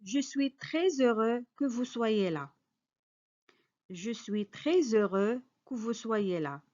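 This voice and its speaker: High-voiced teacher woman drilling phrases. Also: lively intonation, slow, a little mellow, dull audio. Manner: careful, clearly spoken model sentences, each repeated. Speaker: French teacher. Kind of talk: slow repeated phrase drills with quick translations.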